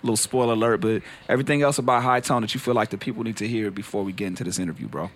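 A man hosting a casual radio show, loose and joking and quick to laugh. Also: fairly low pitch.

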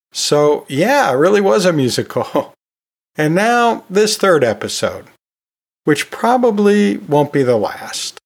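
A man hosting an educational podcast solo, talking in a conversational, folksy way with joking asides. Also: a little high. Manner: folksy, jokey asides with chuckles. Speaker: podcast host, anatomy and physiology teacher.

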